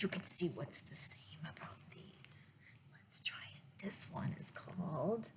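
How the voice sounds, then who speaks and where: A woman reading picture books aloud to children as a librarian, in a deliberate way with pauses and little asides to the listeners. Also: medium pitch, a little mellow, slight background noise. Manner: slow, deliberate phrasing with pauses. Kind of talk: read-aloud storytime with asides to young listeners.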